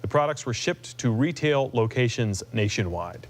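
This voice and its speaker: A man with an American accent presents scripted news as an anchor. His voice is mid-range in pitch. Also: animated, moderate pace. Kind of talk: scripted newscast reading.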